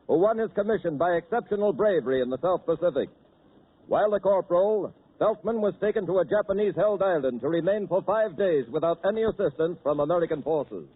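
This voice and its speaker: Formal 1940s radio announcer. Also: male voice, high voice, husky. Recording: tinny 1940s radio broadcast, faint hiss.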